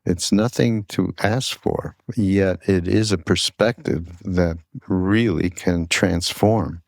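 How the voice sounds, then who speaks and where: Older male podcast host, deep and unhurried, halting reflective storyteller, close dry sound. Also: creaky, darkish tone, dry recording. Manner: halting, searching for words.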